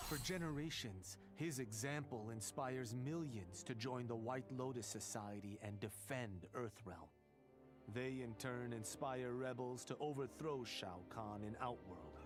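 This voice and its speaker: An actor playing a proud, confident young warrior monk in a video game, giving a boastful, dramatic monologue. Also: male voice, mid-range voice. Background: music.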